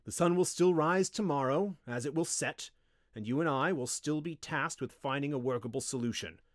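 A man's voice: fairly high pitch, normal speed, no echo, clean studio sound, natural voice. A man voicing a cold, domineering wizard teacher in an audiobook, with a commanding, imperious delivery. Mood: cold, menacing. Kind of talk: audiobook character voice.

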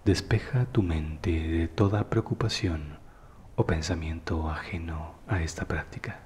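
Deep, slow-voiced man guiding meditation in reverb. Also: slight background noise. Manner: calm, soothing, unhurried, often breathy at phrase ends. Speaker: guided meditation narrator. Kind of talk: slow guided meditation, scripted narration.

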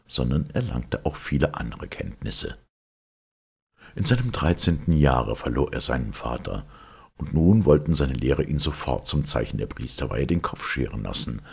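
Very deep, gravelly male storyteller. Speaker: narrator reading a legend aloud. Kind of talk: scripted storybook narration.

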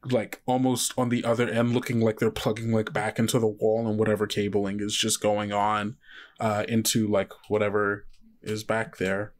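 A man's voice: lowish voice, completely flat delivery, normal speed. A man with an American accent who runs a tabletop game, narrating off the cuff in a conversational, rambling way full of asides. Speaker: game master.

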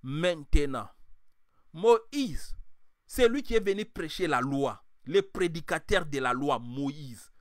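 Male preacher, high voice, passionate sermon, quiet to shouting, dry close sound. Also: expressive, slow, dry recording. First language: French, now mainly English-speaking. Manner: impassioned, swings from quiet to shouting.

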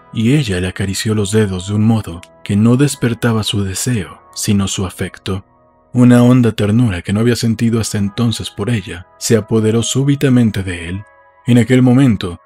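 Mellow, husky male audiobook narrator. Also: on the low side. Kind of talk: scripted literary narration. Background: faint music.

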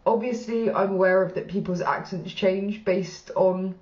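A woman's voice: medium pitch, slow, darkish tone. A woman in her thirties with a Bristolian accent, hosting a reaction channel in casual, frank commentary with the odd swear word. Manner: chatty and frank, casual swearing, gestures while talking. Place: small plain room indoors. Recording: roomy, slightly dull sound.

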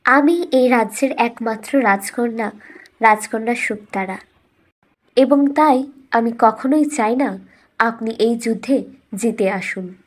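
A woman's voice: on the high side, slow pace, long pauses. A woman voicing a defiant, proud young princess in a cartoon fairy tale, speaking in a firm, declarative manner. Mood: proud defiance.

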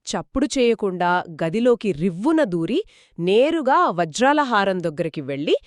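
Female storyteller for kids' tales, expressive co-host, dry close studio sound. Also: mid-range voice, moderate pace, no echo. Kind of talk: scripted children's storytelling in dialogue.

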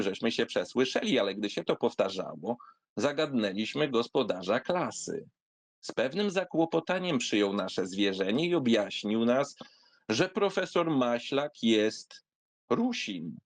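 A man reading a literary memoir aloud expressively, in a wry storytelling manner with dramatic pauses. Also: medium pitch, dry recording. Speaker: audiobook reader.

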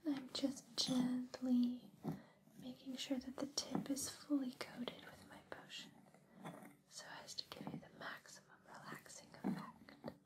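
Slow, whispering woman in an ASMR roleplay. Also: high voice, completely flat delivery. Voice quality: breathy whisper. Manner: soothing, intimate, unhurried. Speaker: ASMR host. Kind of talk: ASMR personal-attention roleplay. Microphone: close binaural microphone.

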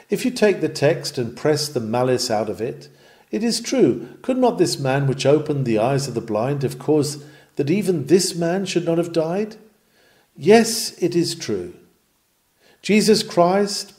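A man's voice: medium pitch, mellow. A man reading a historic sermon aloud in an earnest, oratorical and rhetorical manner, with emphatic delivery.

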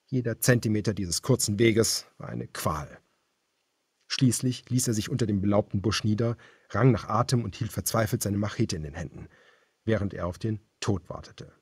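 A man narrating a thriller audiobook in a grave, measured, dramatic storytelling manner. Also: fairly low pitch, darkish tone, husky, dry recording.

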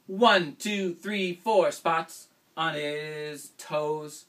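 A man's voice: fairly high pitch, very slow, a bit bright, distant mic. A young man hosting a children's video, speaking eagerly and directly to kids in a small room. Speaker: children's singer and host. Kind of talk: talking to children, then singing with guitar. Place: small indoor room with purple backdrop. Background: acoustic guitar.

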